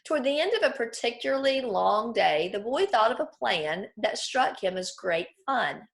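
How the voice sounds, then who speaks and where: A woman teaching children through a read-aloud, explaining things clearly and putting questions to her listeners. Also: a little high, animated, moderate pace.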